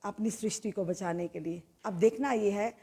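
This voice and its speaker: A woman's voice: mid-range voice, normal speed, warm tone. A woman, speaking as a news interviewer presenting and questioning on politics. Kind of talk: scripted-sounding political interview introduction and questions.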